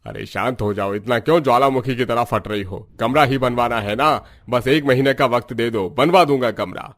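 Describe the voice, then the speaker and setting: A man's voice: medium pitch, normal speed, a bit bright, no echo, natural voice. Man acting exasperated cartoon father-in-law, dry sound. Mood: exasperated, placating. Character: exasperated father-in-law pacifying quarrelling women of the house. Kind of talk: Hindi cartoon story voice acting.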